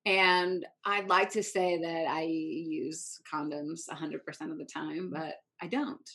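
A woman's voice: low voice, fairly even intonation, measured pace, sharp tone. Playful woman host in her thirties. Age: 30s. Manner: playful, teasing, asks frank questions. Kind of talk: casual interview chat.

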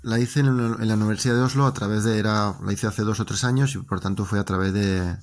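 A man's voice: fairly low pitch, fast talker. Spanish man telling stories. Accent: Spanish from Spain. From Spain. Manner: lively, joking storyteller, talking in long winding turns. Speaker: interview guest. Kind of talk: casual interview conversation.

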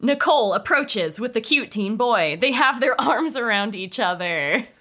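High, bright, animated woman narrator. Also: unhurried. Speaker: narrator reading stage directions. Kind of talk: comedic script narration at a table read.

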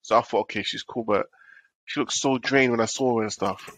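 A man's voice: mid-range voice, brisk, a bit bright, no echo. A man with a slightly nasal voice from a cold, co-hosting a casual chat and telling a rambling story. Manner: rambling storyteller, repeats himself. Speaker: podcast co-host.

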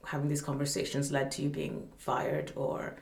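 A woman's voice: low-pitched, monotone, normal speed, gravelly, darkish tone. Earnest woman from Malawi, echoey room. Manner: earnest, thoughtful, with frequent fillers and restarts. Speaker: guest, UN humanitarian staff representative. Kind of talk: podcast conversation.

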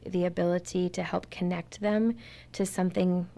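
A woman's voice: lowish voice, flat delivery, moderate pace, vocal fry, mellow. A middle-aged woman, a guest in an interview, talking earnestly about her community volunteer work and repeating words for emphasis. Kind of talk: casual interview talk.

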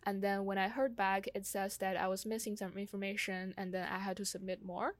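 A young woman in a casual, personal conversation. Her voice sits at a middle pitch and she feels her way through sentences with frequent "like". The sound is dry, with very little room. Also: little pitch movement, a little fast, no room sound. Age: young adult. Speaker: conversation host sharing own experience.

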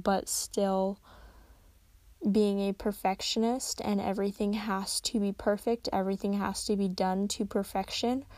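A tired young woman hosting a casual solo podcast at home, rambling and correcting herself, her voice slightly raspy. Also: medium pitch, a little mellow, no room sound. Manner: rambling, chatty, self-correcting. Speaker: podcast host. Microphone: close desk microphone.

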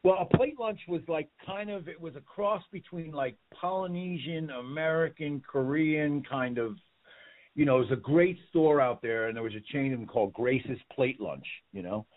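A man's voice: on the high side, moderate pace. A man telling rambling stories as a guest in a casual interview, often repeating words. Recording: tinny phone-like line.

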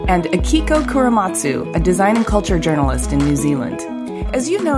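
A woman hosting a design podcast with an earnest, enthusiastic manner, reading an intro and then chatting with guests. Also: medium pitch. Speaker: podcast host. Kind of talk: scripted intro and casual interviewing.

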